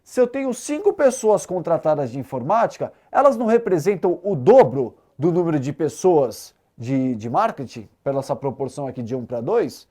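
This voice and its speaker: Casting: Brazilian man as an exam-prep teacher, soft-edged mellow timbre, very varied intonation, didactic delivery. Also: a little high, very animated, normal speed, hardly any pauses. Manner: didactic, checks understanding with short tag questions. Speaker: math teacher. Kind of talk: explanatory exam-prep lesson.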